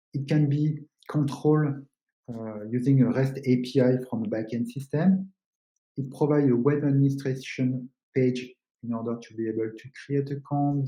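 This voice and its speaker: A man giving a technical webinar presentation, explaining things in slightly halting English. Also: medium pitch, mellow. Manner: explanatory, halting non-native English phrasing. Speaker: webinar presenter, company co-founder. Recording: roomy, echoing sound.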